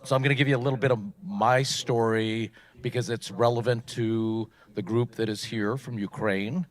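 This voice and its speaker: A man's voice: mid-range voice, steady tone, moderate pace. A middle-aged man from Poland hosting an event on stage, talking casually into a microphone and rambling with many fillers. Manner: chatty, rambling, frequent fillers. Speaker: event host.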